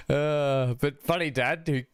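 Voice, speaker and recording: A man's voice: fairly high pitch, no echo. A man with an Australian accent hosting a game stream, chatting casually and laughing often. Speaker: gaming channel host.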